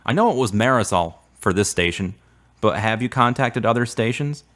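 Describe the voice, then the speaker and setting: A man playing an earnest, devout young man in a radio drama, with measured, formal, preachy phrasing. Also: mid-range voice, expressive, fairly bright tone, close to an everyday voice. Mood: hurt, accusing.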